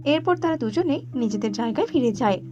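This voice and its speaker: A woman giving scripted narration for an animated children's story. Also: high voice, brisk. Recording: slightly dull audio with background music.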